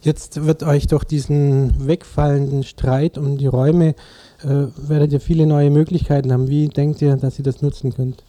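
A man's voice: fairly high pitch, flat delivery, moderate pace, warm tone. A man working as a radio interviewer, reading a prepared report and putting questions to a guest.

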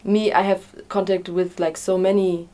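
A woman's voice: mid-range voice, little pitch movement, normal speed, warm tone. A woman giving unscripted interview answers as an activist, hesitantly searching for her words. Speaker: refugee-support activist being interviewed.

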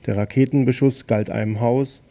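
A man reading a news bulletin on a local radio station in a plain, slightly halting way, correcting himself now and then. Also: medium pitch, fairly even intonation, warm tone, muffled recording.

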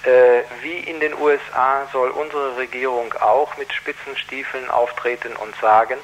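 A man speaking as an activist guest in a radio interview, explaining things earnestly and deliberately, with hesitations. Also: medium pitch, fairly even intonation, slight background noise, rough recording. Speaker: activist guest against child labour.

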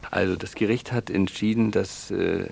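A man's voice: low voice, fairly even intonation, moderate pace, creaky, darkish tone, slight background noise. A man speaking as a lawyer in a radio interview, explaining at length in formal legal wording and often reworking his phrases as he goes.

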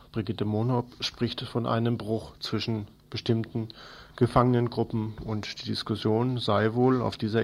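A man's voice: medium pitch, creaky. A man presenting an informal radio news overview, part read and part improvised, addressing listeners familiarly.

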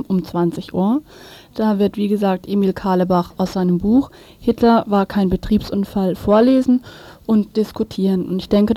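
A female radio host speaks with a soft-edged, mellow tone in a very dry studio. Her presenting is informal and conversational. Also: medium pitch, no room sound.

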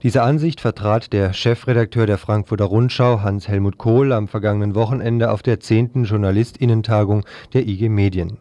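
A man's voice: fairly low pitch, steady tone, a little fast, hardly any pauses, warm tone, no room sound. A man presenting a scripted news report on the radio.

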